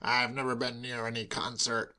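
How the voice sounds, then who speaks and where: A man's voice: medium pitch, level tone, moderate pace, bright, forceful tone, smooth, pitched below the performer's own voice. A man voicing a small, weedy, nervous burglar who speaks in hesitant, placating tones.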